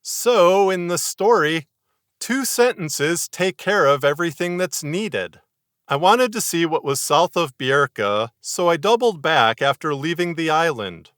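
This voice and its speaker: A man narrates a scripted lesson on writing in a bright, forceful voice. The sound is very dry and close. He is articulate and measured, stressing his points like a podcaster who writes fiction. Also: fairly high pitch, animated, smooth, dry recording. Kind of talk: scripted instructional narration to camera.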